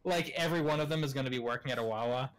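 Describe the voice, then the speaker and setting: A man's voice: medium pitch, rapid-fire. A young man with a Philadelphia accent chatting casually while streaming a video game, telling stories and laughing often. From Pennsylvania. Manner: laughs often, tells anecdotes. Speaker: gaming stream host.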